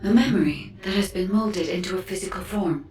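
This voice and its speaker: An actress playing a solemn, purposeful woman guide in a video game, speaking calmly and deliberately. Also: lowish voice, slight background noise, lightly acted. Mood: hushed, intent. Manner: calm, deliberate, serious. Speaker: game character. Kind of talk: scripted video game dialogue. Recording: distant, echoey game audio.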